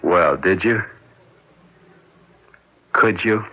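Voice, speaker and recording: A man's voice: sits low, very slow, exaggerated voice. An actor playing a bitter husband in a radio drama, pressing his accusations in a relentless, cold, dramatic way. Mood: relentless, taunting. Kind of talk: 1970s radio drama acting. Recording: dull lo-fi radio recording.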